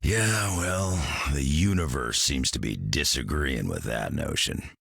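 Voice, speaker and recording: An actor playing a rugged, weary fighter, gruff but affectionate, with a gravelly voice. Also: male voice, very deep voice, animated, unhurried, fairly bright tone, no echo, clean studio sound, exaggerated voice. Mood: tender. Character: rugged weary tough man, tender.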